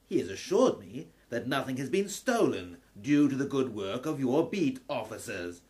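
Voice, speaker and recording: An actor playing a haughty, threatening lawyer in an audio drama, speaking formally, pompously and with emphasis. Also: male voice, medium pitch, very animated, normal speed, darkish tone, natural voice. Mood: firm, officious.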